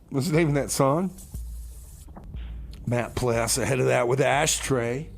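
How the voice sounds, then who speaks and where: A man's voice: medium pitch, a little hiss or hum. A man hosting a radio music show in a casual, chatty style with comic banter.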